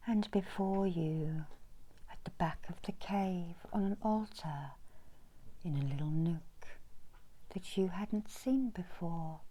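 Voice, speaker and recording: Middle-aged female meditation guide, breathy whisper, lowish pitch, extremely unhurried delivery in short phrases. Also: warm tone, rough recording. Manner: slow, deliberate, pausing between short phrases. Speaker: reiki healer guiding a meditation. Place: small indoor room.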